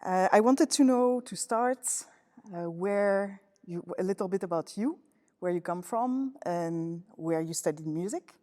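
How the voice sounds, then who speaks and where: A woman from Toronto, working as a musicologist who asks interview questions. She speaks haltingly, searching for words with many fillers. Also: on the low side, warm tone. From Toronto, Canada. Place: large church. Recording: long church reverb.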